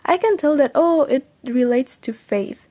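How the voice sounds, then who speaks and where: A woman's voice: animated, a little slow. A young woman whose first language is Persian, giving casual, upbeat tips on studying the Quran. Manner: upbeat and chatty, with sudden bright lifts in pitch. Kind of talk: casual instructional vlog.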